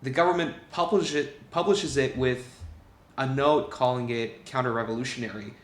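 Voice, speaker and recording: A man's voice: medium pitch, normal speed. A man interviewing a guest in a conversational way, recorded with a roomy, echoing sound. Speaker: podcast interviewer.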